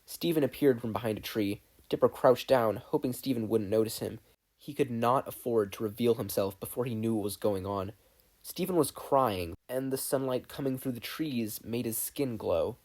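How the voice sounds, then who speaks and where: A podfic narrator reading a scripted story in an expressive way. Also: medium pitch, normal speed.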